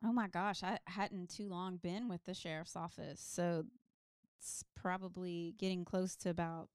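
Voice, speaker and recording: Podcast guest: a woman with a slightly low, smooth voice, chatty and rambling, recorded dry. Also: steady tone, unhurried, a little mellow, dry recording. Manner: rambling, many side stories and ums. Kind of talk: casual storytelling chat.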